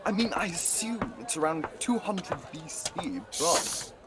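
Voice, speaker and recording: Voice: mid-range voice, animated, a little slow, very noisy, lightly acted. A student performer voicing a wisecracking, cheeky talking magical book in a radio play, quipping back with questions. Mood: wry, proud. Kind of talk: student radio theatre acting.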